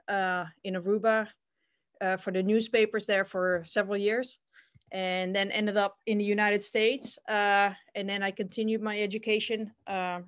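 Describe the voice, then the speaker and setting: Dutch-accented woman presenting on a call. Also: medium pitch. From Netherlands. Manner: plain, steady, with frequent "uh" fillers. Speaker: ceramics teacher and artist. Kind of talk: presenting her work over a video call. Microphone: video call.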